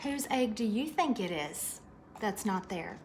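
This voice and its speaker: A woman's voice: mid-range voice, expressive, a little slow, a little mellow, slight background noise. A woman reading a picture book aloud to young children, expressively, with chatty asides and questions to her listeners.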